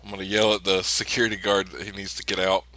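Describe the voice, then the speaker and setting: A man's voice: low voice, little pitch movement, fast talker, sharp tone, low-quality recording. A man playing a tabletop role-playing game, casually calling his character's actions and speaking as him.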